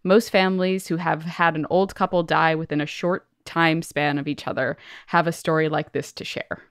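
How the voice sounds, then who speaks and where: A woman's voice: low-pitched, steady tone, normal speed, clear, resonant tone, no echo, studio quality. A woman co-hosting a podcast, reading listener stories aloud and chatting in a casual, colloquial way. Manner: colloquial, peppers speech with "like". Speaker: podcast co-host.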